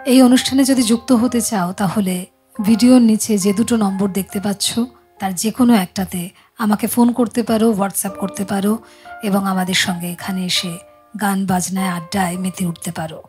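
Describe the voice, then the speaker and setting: A woman's voice: mid-range voice, steady tone, moderate pace, a little mellow. A woman hosting a music chat show in a studio, talking casually to viewers and guests.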